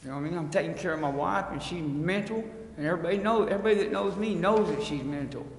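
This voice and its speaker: A man's voice: sits high, quick pace. A plain-spoken man making an unscripted, pleading appeal as a resident at a public hearing, recorded in a council chamber. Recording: echoey hall, long reverb, faint noise.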